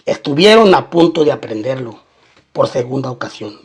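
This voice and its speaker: A man's voice: mid-range voice, big pitch swings, normal speed, husky. A man narrating a scripted documentary-style crime story, mixing in colloquial slang as he tells it.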